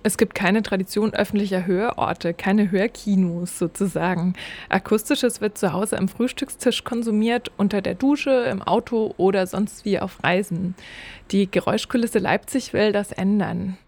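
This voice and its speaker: A woman narrates a scripted radio report. Her voice sits at a middle pitch, and she reads as a reporter presenting a feature. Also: normal speed, a little mellow.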